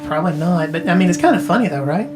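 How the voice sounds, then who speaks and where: A woman's voice: lowish voice, expressive, rapid-fire, over loud music, lightly acted. An actress playing a tech-savvy woman who explains things informally and hedges as she goes, in naturalistic audio drama dialogue. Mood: wry. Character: tech-savvy, casual woman who knows retro arcade games. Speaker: character in a mystery audio drama.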